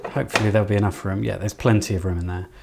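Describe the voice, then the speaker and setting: A man's voice: lowish voice, steady tone, very fast, creaky, noisy background. A man giving casual, informal hands-on commentary as he works through an electronics build, half talking to himself. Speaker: electronics hobbyist presenter.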